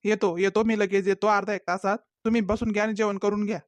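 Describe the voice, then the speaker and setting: A performer voicing a sly, smooth-talking young village man in a cartoon comedy, who dodges his mother and sweet-talks his girlfriend. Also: mid-range voice, flat delivery, fast, very noisy, close to an everyday voice. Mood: evasive.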